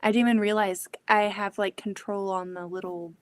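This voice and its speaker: A woman hosting a casual sewing livestream, talking in a chatty, scattered, self-deprecating way with a soft voice. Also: medium pitch, little pitch movement, normal speed. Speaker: livestream host.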